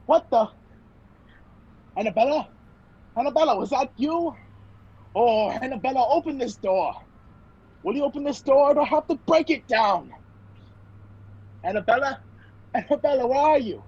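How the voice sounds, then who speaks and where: A man playing a polite, earnest gentleman hero in an old-time radio play. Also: very high-pitched, expressive, moderate pace, long pauses, breathy edge, full character voice. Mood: alarmed. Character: gentlemanly male hero caught in a spy intrigue. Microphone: video call. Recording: dull, noisy video call audio.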